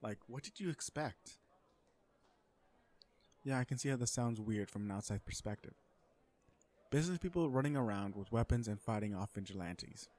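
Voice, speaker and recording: A man's voice: medium pitch, lively intonation, normal speed, long pauses, darkish tone, natural voice. A man playing a self-assured, flirtatious businessman supervillain in a romantic comedy audio roleplay, talking to the listener in a sardonic, conversational way. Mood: smug, exasperated. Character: cocky, flirtatious businessman supervillain on a blind date.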